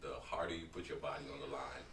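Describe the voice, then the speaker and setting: A man's voice: very deep voice, steady tone, quick pace, fairly bright tone. A man co-hosting a casual chat, giving advice in an encouraging way. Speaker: co-host. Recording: echoey room from far off, faint noise.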